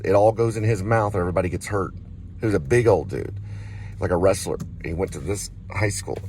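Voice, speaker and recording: A man telling rambling personal stories for a vlog, talking haltingly, digressing and repeating himself. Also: lowish voice, a little mellow. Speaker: vlog host. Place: inside a car while riding. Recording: noisy moving car interior.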